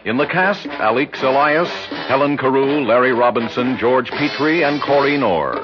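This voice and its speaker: A man's voice: medium pitch, lively intonation, moderate pace. An American man reading a formal, scripted 1960s radio announcement as a show's announcer. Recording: dull vintage radio, loud orchestral music behind.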